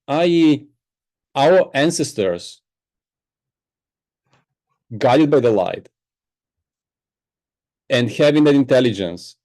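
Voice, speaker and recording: A man's voice: medium pitch, mellow, low-quality recording. A man from Bulgaria, Bulgarian his first language, explaining spiritual ideas enthusiastically as a podcast guest. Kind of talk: podcast interview.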